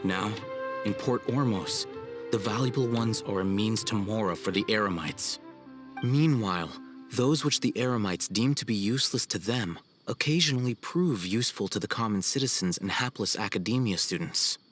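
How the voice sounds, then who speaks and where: A man voicing a cool, haughty young scholar in a video game, formal and measured. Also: lowish voice, lively intonation, fairly bright tone. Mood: cool, explanatory. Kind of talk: video game cutscene acting. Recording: music behind the voice.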